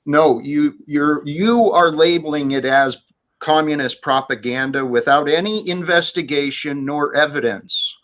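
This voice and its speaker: A man hosting a casual group chat, calmly pushing back and correcting the others. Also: on the high side. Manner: calm, corrects others in a measured way. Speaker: host.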